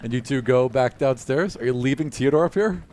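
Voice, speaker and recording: A man's voice: medium pitch, a little fast, a little mellow. A man working as game master, narrating a tabletop game in a casual, improvised way.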